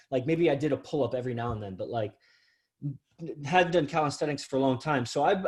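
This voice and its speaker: A man with a somewhat high voice hosts a podcast interview. He talks in an effusive, admiring way and rambles from one thought to the next. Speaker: podcast host. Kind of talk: casual interview talk.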